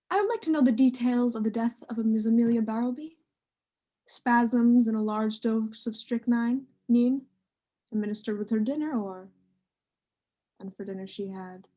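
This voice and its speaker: A woman playing a courteous, confident male detective in an amateur radio play, speaking in a measured, formal way. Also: a little high, fairly even intonation, dark tone, natural voice. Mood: courteous, inquiring.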